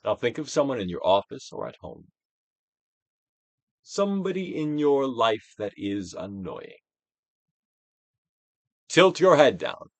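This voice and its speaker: Theatrical man reading with sweeping, dramatic pitch. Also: very animated, slow pace, pauses often, no echo. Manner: theatrical, emphatic, swinging from hushed near-whispers to loud projection. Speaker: audiobook reader, actor. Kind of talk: dramatic reading of a self-help book.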